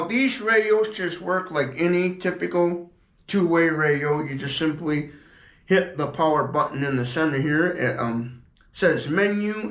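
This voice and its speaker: Man, slightly high voice, casual product demo, halting and repetitive explanations, echoey room. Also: a bit bright. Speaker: product reviewer.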